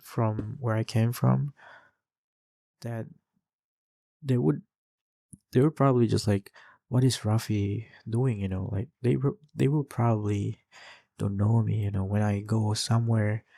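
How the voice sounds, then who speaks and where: A man's voice: fairly low pitch, fairly even intonation, unhurried, creaky, long pauses, dark tone, no room sound. A young man with an Indonesian accent, chatting loosely while playing a game, with lots of fillers and restarts. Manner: rambling, many fillers and restarts. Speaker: gaming channel host.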